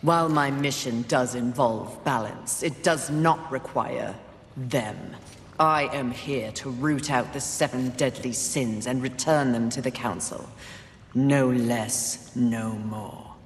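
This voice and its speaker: A woman's voice: rumbling low, takes it slowly, heavily acted. Amid heavy echo and faint ambience, a female horseman of the apocalypse for a video game. She is imperious and contemptuous, delivering every line with sardonic, clipped disdain. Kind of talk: video game cutscene acting. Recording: reverberant game mix, faint ambient noise.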